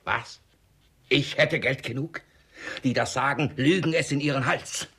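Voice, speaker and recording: An actor playing a miserly, suspicious, irascible old father in a classic comedy radio play, with a raspy voice and a grumbling, blustering manner. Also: medium pitch, a little slow, bright, forceful tone, heavily acted. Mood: indignant scolding.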